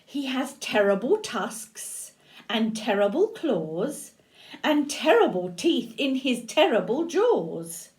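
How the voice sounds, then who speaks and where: A grandmother voicing a small, quick-witted brown mouse in a storybook reading. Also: fairly high pitch, very animated, a little mellow. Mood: boastful.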